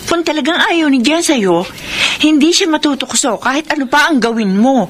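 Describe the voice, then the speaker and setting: A woman's voice: sits high, animated, moderate pace, a little hiss or hum. An actress playing an indignant, protective young female confidante in a Filipino radio drama, emphatic and scolding.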